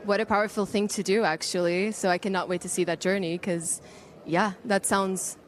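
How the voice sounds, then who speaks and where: A woman's voice: medium pitch, steady tone, moderate pace. A woman from Portugal with a Portuguese accent, working as a casual red carpet interviewer.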